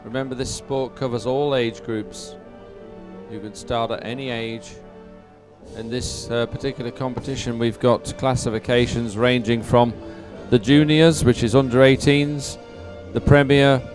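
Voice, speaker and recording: A man's voice: medium pitch, steady tone, measured pace, over music. A man giving live, unscripted sports commentary in a chatty, hesitant, explanatory way.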